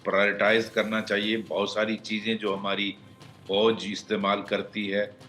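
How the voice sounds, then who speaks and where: A man giving expert commentary on a live news panel, speaking haltingly with frequent pauses. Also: on the low side, completely flat delivery, fairly bright tone, soft background music.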